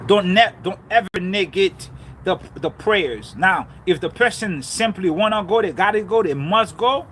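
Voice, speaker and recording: A man's voice: sits high, pushed and bright, a little hiss or hum. A man from Haiti giving a fervent spiritual talk on faith and relationships, repeating key lines for emphasis. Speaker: spiritual speaker. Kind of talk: preachy motivational talk.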